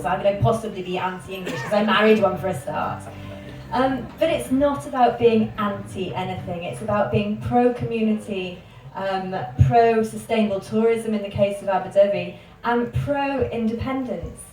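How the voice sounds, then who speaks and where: Earnest woman campaigner, distant and echoey. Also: medium pitch. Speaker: panel speaker, housing campaigner. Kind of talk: panel discussion. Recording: echoey, far from the mic, with music behind.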